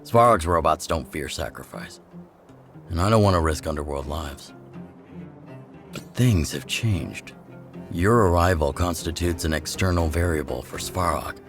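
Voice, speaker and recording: Very deep-voiced resistance chief. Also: male voice, faint music behind. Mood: measured, resolute. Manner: measured, authoritative, plain-spoken. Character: gruff older male chief of underground resistance, measured, pragmatic. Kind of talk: video game dialogue acting.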